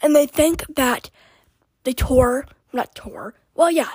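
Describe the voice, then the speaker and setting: A young woman hosting a podcast with a raspy voice, chatting in a scattered, rambling way and often dropping into whispered asides. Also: sits high, slow pace, a little mellow, no echo. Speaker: podcast host. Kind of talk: casual rambling chat.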